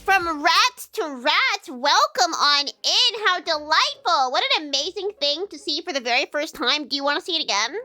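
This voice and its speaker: A high, bright woman's voice, nasal and stuffed up as if she is fighting a sinus infection. She chats playfully with her viewers, cracks crude jokes and giggles often. Faint music plays underneath. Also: expressive, normal speed, few pauses. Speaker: streamer. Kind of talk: casual live-stream chat. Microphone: streaming microphone.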